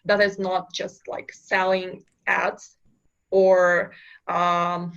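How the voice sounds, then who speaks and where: A woman's voice: on the low side, level tone, drawn-out words, gravelly, fairly bright tone, smooth, dull audio. A young woman from Kazakhstan, a startup founder answering interview questions in a hesitant way with many fillers and restarts. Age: young adult. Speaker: startup founder, podcast guest.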